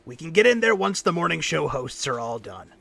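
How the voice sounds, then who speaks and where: A concerned, wry mechanic friend played by a man in an audio drama. He speaks earnestly and haltingly in a slightly high, sharp voice with a marked creak. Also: sing-song, full character voice. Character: worried, loyal male mechanic friend, irreligious and wry.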